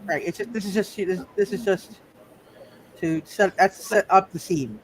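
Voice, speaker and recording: A man running a live tabletop roleplay as game master, explaining casually in a halting way with repeated phrases. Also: high-pitched, a little hiss or hum, poor audio.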